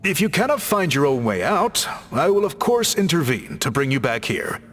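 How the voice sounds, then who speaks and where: Polite, lively-toned scholarly researcher. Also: male voice, on the high side, moderate pace. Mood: courteous, assured. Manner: courteous, precise, formal phrasing. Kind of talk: video game character acting.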